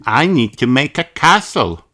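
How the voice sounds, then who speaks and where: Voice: medium pitch, big pitch swings, unhurried, pushed and bright, pitched above the performer's own voice. A storybook reader voicing an eager, bossy little girl pig in an emphatic, childlike way. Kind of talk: storybook character voice.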